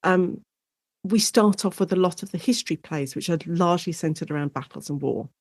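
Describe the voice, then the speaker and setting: British woman chatting as an expert guest, explains history like a story, animated, really dry sound. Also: on the low side, normal speed, slightly rough, no room sound. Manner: animated, informal storytelling with acted-out quotes. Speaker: guest expert, lecturer and author on Shakespeare in radio. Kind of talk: conversational interview, enthusiastic historical explanation.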